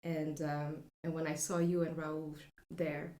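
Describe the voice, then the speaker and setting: A woman in her thirties from France with a French accent, telling her personal story as a podcast guest in a soft, reflective way with many pauses and filler sounds. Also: low-pitched, little pitch movement, roomy sound.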